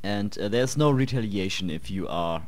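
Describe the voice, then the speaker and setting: A young man with a slightly hoarse voice giving relaxed, chatty commentary over gameplay. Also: fairly low pitch, normal speed. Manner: relaxed, chatty, a bit rambling. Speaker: let's play host.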